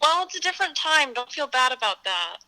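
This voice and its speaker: Woman around twenty, bright slightly high voice, casual interview guest, tentative and playful. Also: very animated, fairly quick, poor audio. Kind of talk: casual chat.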